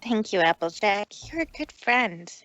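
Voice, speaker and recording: Woman voicing a theatrical, animated pony magician mare. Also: mid-range voice, a little slow, no echo, lo-fi sound, pitched above the performer's own voice. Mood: grateful. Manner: theatrical, formal, refers to herself in third person. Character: female show-off pony magician, proud, troubled, speaks in third person.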